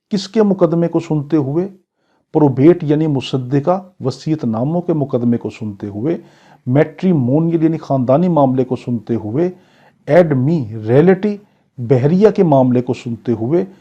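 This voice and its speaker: A man's voice: on the high side, warm tone, husky. A man with a Pakistani accent giving an exam lecture as a law teacher, didactic and repetitive, switching between reading out English and explaining it. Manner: didactic, repetitive, poses questions and answers them. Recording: dull lo-fi sound.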